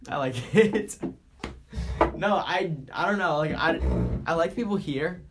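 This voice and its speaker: A man from the Boston area co-hosting a podcast in casual chat, congested from a cold, talking in halting bursts with lots of restarts and fillers. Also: a little high, over music. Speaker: podcast co-host.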